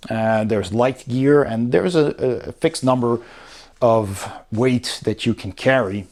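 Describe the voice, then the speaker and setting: A man's voice: mid-range voice, slow, darkish tone. A man giving a casual, unscripted review commentary, explaining things step by step as he goes through a rulebook. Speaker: host of a board game review channel.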